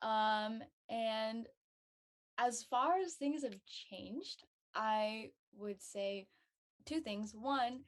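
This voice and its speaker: A woman's voice: fairly high pitch, drawn-out words. A woman actor casually introducing herself on a group call.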